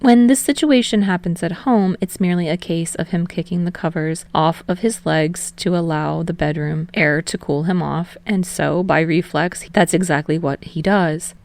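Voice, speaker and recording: Podfic narrator: woman, lower-pitched voice with noticeable vocal fry, reading scripted fiction. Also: moderate pace, hardly any pauses, darkish tone, no room sound.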